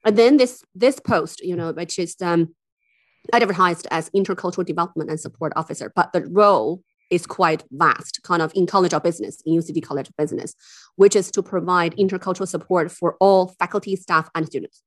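A woman from China talks through her career as a podcast guest, in a lowish voice. She is lively and keen, with lots of fillers and restarts. The sound is dry and close. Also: a little fast, dry recording. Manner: chatty and enthusiastic, many fillers and restarts. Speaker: guest, university intercultural officer. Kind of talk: casual interview chat.

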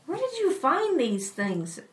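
Woman, tabletop player, high voice, lively intonation, dry jokes. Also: slow pace, distant mic. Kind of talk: casual chat.

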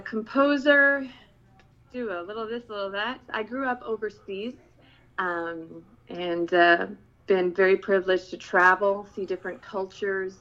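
A woman from the Philippines talks in a bright, forceful voice as a talk show co-host. She sounds light and giggly and hesitates with fillers. The recording is muffled and dull. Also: mid-range voice. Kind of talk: casual talk show chat.

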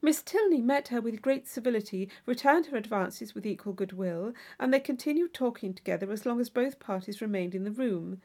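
A woman's voice: on the high side, animated, fairly quick, few pauses, darkish tone. A woman reading scripted narration from a classic novel as an audiobook reader.

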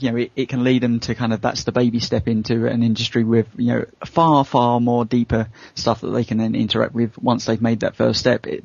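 A man's voice: mid-range voice, creaky, a little mellow, husky, no echo. A man talking casually on a discussion panel, hedging as he makes his points. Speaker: show regular. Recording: dull, lo-fi voice recording.

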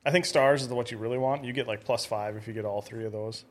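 A man's voice: mid-range voice, steady tone, quick pace. A man chatting casually as co-host during gameplay, explaining things as he goes. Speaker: co-host of a gaming show.

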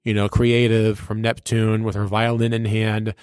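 A man, a radio personality, reminiscing casually and effusively, with emphatic, drawn-out phrasing and repetition. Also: fairly low pitch, monotone, darkish tone, smooth, no echo, studio quality.

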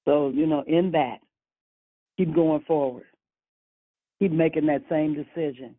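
A woman in her sixties leads a church prayer line, speaking slowly and warmly encouraging, with amens and hallelujahs. Her voice is dry and close, squeezed through a narrow phone line. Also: sits low, steady tone, dry recording. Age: 60s. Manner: slow, encouraging, churchy exclamations. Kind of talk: church phone call fellowship.

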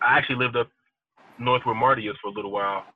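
A man from Indiana, a pastor and podcast guest, talking casually in a soft-spoken, easygoing way. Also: mid-range voice, fairly even intonation, racing, husky. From Indiana, USA. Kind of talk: casual chat. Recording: tinny phone-like line with faint noise.

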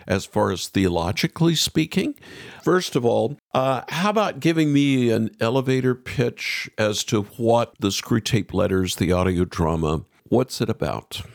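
A man's voice: mid-range voice, creaky, no echo, clean studio sound. An American man hosting a radio interview programme, introducing things and putting questions to a guest.

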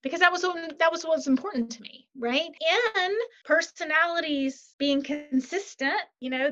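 Upbeat woman guest on a lo-fi call. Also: high-pitched. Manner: animated, upbeat, says "right?" often. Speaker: podcast guest, author. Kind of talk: casual interview chat. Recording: lo-fi muffled sound.

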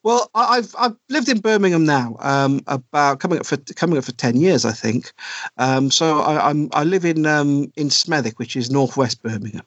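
A middle-aged man from South Wales, chatting as a podcast guest and pausing often with ums and restarts. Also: fairly high pitch, animated, no echo. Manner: hesitant, many ums and restarts. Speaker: podcast guest, comic writer. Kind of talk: casual interview chat. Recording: dry, dull lo-fi sound.

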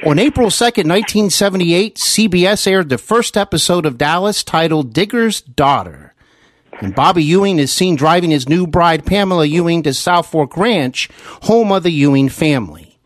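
A man hosting an interview podcast, with deliberate, emphatic announcer-like phrasing. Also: on the high side, expressive, no room sound. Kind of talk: scripted introduction and interview hosting.

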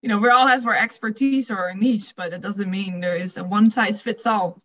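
Bright Dutch-accented woman, lo-fi sound. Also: mid-range voice, normal speed. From Netherlands. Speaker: podcast guest, nutritionist. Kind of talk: casual podcast interview. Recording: dull lo-fi sound.

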